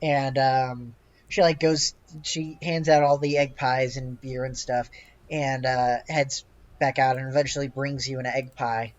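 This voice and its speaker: A game master narrates a tabletop session in a medium-pitched voice. The delivery is halting and improvised, full of hesitant pauses and filler. The sound is dull and lo-fi. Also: level tone. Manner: halting, hesitant, heavy use of "like".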